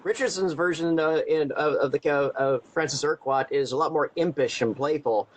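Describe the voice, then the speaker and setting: A man with an American accent chatting as a radio interviewer, talkative and enthusiastic, with halting starts. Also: a little high, slight background noise, rough recording. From United States. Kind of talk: casual fan chat.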